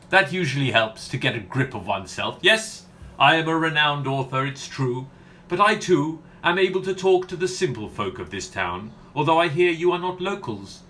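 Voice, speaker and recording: An actor playing a vain, flirtatious detective novelist in an audio comedy, with a florid, condescending manner. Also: male voice, fairly high pitch, pushed and bright. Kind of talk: audio comedy radio play acting.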